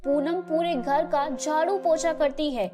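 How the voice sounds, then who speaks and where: A woman's voice: high voice, unhurried, warm tone. A woman narrating a scripted children's storybook tale. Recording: music playing behind the voice.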